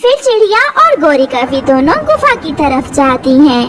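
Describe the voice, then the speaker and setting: A woman telling a cartoon story as narrator, slow and even. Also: high-pitched, very noisy.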